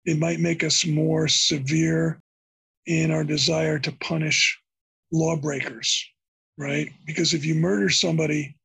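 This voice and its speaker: Gravelly older man, husky and creaky. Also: fairly high pitch, a bit bright, low-quality recording. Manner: thoughtful, meandering, restarts phrases. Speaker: interview guest, psychology professor. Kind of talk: conversational podcast interview.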